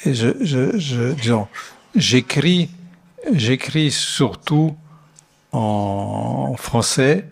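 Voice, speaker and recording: Older man, native Italian speaker, speaking haltingly, a lecturer taking questions. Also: fairly low pitch, husky. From Switzerland. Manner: hesitant, many fillers and restarts. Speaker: architect giving a lecture. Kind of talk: lecture Q&A answer.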